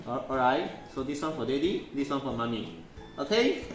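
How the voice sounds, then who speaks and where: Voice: mid-range voice, animated, moderate pace. A parent hosting a casual toy unboxing, repeating words and phrases and putting simple teaching questions to a child. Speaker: parent and vlog host presenting a toy review. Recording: echoey room with loud background music. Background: music.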